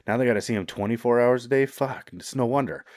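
A man in casual podcast chat, crude and quick to laugh, swearing often. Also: mid-range voice, a little mellow, no room sound, studio quality.

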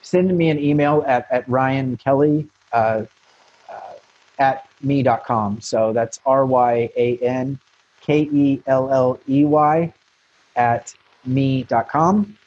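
A man's voice: medium pitch, takes it slowly, dry recording, lo-fi sound. An American man, a marketing consultant, talking frankly and rambling in a casual interview with many restarts and fillers.

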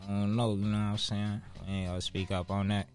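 A man in his twenties with a Chicago accent and a fairly low voice. He talks casually as an interview guest, leaning on street slang, swearing and repeating phrases to press his point. Faint music plays behind him. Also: flat delivery, quick pace, a little mellow. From Chicago, United States. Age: 20s. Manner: street slang, profane, emphatic repetition.

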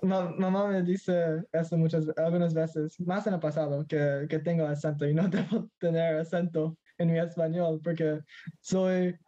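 A man's voice: a little high, level tone, normal speed, warm tone, highs cut off. A teenage boy from Mexico in casual conversation as a guest, hesitant and searching for words, slipping into English now and then. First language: Spanish. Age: teens.

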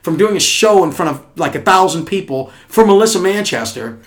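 A man telling road stories as a comedian guest in casual chat, animated and swearing, acting out quotes. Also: high voice, brisk. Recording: echoey room.

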